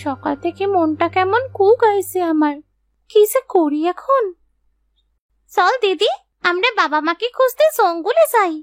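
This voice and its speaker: A woman's voice: very high voice, animated, unhurried, no room sound, clean studio sound, faint music behind. A woman voicing an anxious, pleading little village girl in a children's fairy-tale cartoon. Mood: worried, tearful.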